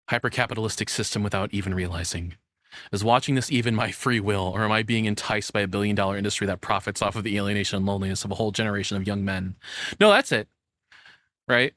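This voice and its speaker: A man's voice: on the low side, a bit bright, no room sound, studio quality. A man streaming casual live reaction commentary, rambling and joking crudely as he goes.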